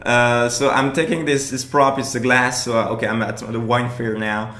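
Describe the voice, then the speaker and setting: A man talking through his own improv show in a relaxed commentary, halting and restarting often, laughing along the way. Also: mid-range voice, roomy sound. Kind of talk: casual commentary over show footage.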